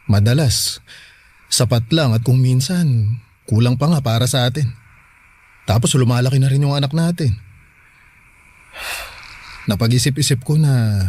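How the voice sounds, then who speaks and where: A man playing an earnest, anxious young father in a Filipino radio drama, speaking in a halting, pleading way. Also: medium pitch, a little mellow, lightly acted.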